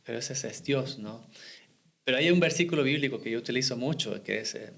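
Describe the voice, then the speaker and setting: A man's voice: medium pitch, a little fast, creaky, a bit bright. A middle-aged man from Ecuador, a missionary guest in a podcast interview, telling his faith story in an earnest, reflective way with short restarts.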